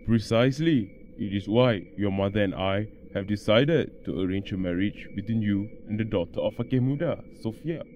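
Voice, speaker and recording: A man's voice: lowish voice, normal speed, few pauses, highs cut off, a little hiss or hum, close to an everyday voice. A man playing a stern traditional father arranging his grown son's marriage, speaking firmly and decisively in an amateur radio play. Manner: firm, commanding.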